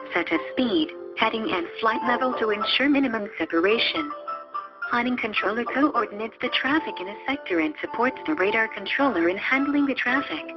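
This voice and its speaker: A woman reading a scripted explanatory narration in a formal, measured manner. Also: on the high side. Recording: muffled lo-fi audio under loud music. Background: music.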